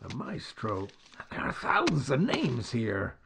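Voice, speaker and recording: An actor playing a loyal, plain-spoken police sergeant with slangy, colloquial speech in an old-time radio drama. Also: male voice, mid-range voice, huge pitch swings, slow, creaky, background noise, exaggerated voice. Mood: blunt, dismayed. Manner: colloquial, blunt.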